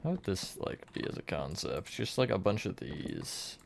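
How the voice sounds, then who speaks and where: A man's voice: sits low, very animated, moderate pace, gravelly, background noise. A man streamer chatting casually with his viewers, counting blocks and answering chat while he builds.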